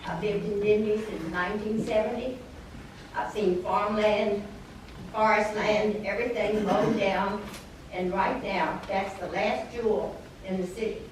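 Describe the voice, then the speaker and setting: A woman's voice: mid-range voice, fairly even intonation, a little slow, a little hiss or hum. An older woman, a local resident, speaking indignantly and emphatically at a public meeting. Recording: echoey hall picked up from far off.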